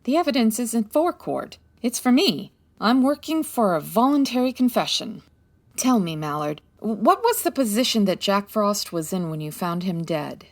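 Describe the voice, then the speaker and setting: An actress playing a brisk, confident female private detective in a comic 1940s-style radio drama, commanding and take-charge. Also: mid-range voice, big pitch swings. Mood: confident scheming.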